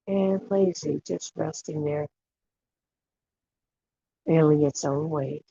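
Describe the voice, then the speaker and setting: A guided imagery facilitator leading a relaxation meditation in a soothing, unhurried way, leaving long pauses between short phrases. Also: medium pitch, mellow. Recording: dull lo-fi audio with clear background noise and clicks.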